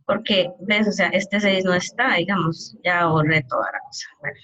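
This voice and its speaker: A woman's voice: lowish voice, steady tone, normal speed, a bit bright, muffled recording. A woman math teacher giving an online calculus class, explaining step by step and often checking that her students follow.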